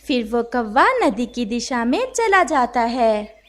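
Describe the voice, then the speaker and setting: A woman's voice: a little high, lively intonation, slow, slight background noise. A woman narrating a moral animal story for children.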